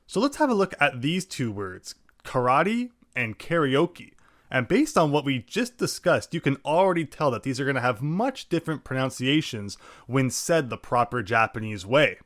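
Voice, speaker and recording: A man teaching a language lesson, explaining clearly and sounding out syllables slowly. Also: medium pitch, big pitch swings, fairly bright tone, dry recording, studio quality. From English-speaking. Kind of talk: scripted lesson narration.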